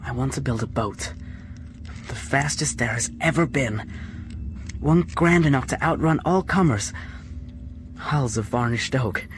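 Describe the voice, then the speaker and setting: A man's voice: medium pitch, a little slow, slight background noise, lightly acted. Anxious young prisoner played by a man. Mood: hopeful, yearning. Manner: hesitant, earnest. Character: anxious, earnest young American male prisoner, devoted son. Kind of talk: radio drama acting.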